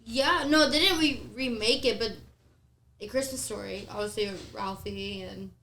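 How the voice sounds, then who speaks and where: A woman's voice: fairly high pitch, normal speed, sharp tone. A young woman chatting casually as a panelist on a group podcast. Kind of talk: casual chat. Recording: roomy, echoing room sound.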